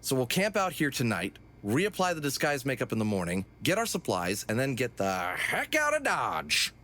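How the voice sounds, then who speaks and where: A man's voice: mid-range voice, wildly swooping pitch, moderate pace, sharp tone. A man playing a wry, exasperated young gang leader with dry sarcasm in a comic audio drama. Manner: hesitant asides, dry sarcasm.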